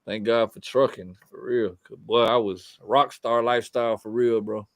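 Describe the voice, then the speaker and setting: A man's voice: medium pitch, steady tone, moderate pace, no room sound, clean studio sound. Laid-back Southern man telling stories. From Fort Pierce, Florida. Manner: laid-back, profane storytelling with frequent restarts. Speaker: guest artist. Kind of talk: casual podcast chat.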